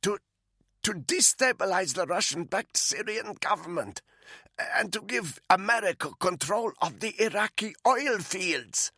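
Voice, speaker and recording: A man voicing a captive woman spy who croaks and gasps for air as she is strangled. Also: mid-range voice, expressive, a little slow, fairly bright tone, no echo, clean studio sound, voice pushed up, exaggerated voice. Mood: strained, fearful. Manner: croaking, strained pleading. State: choking, gasping.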